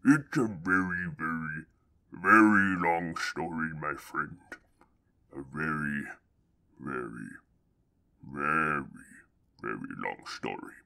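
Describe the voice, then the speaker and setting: A cavernously deep, gravelly creature, voiced by a man in an audio drama, drawling slowly and stretching every word. The tone is bright and edged despite the depth. He sounds tired and fond of being pampered. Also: full character voice. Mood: drawn-out. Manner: slow, drawn-out, repeating words.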